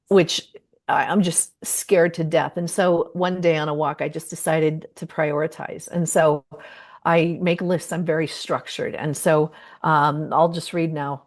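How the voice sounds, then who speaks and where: Low-voiced woman sharing candidly. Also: steady tone, a little slow. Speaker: guest, patient advocate and cancer survivor. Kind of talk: reflective personal account in an online discussion.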